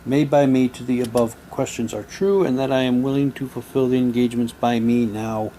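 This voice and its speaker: A man's voice: medium pitch, a little fast, mellow. Casual man musing over noisy background. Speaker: reviewer showing mail-order radio drama props. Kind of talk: casual unboxing commentary, reading documents aloud. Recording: clear background noise, room ambience.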